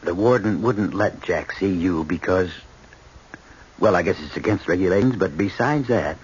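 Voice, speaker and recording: A shaken, low-voiced judge and father. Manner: stammering, hesitant, formal. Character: troubled middle-aged male judge, shaken, earnest father. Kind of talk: vintage radio drama acting. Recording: dull, noisy old radio recording.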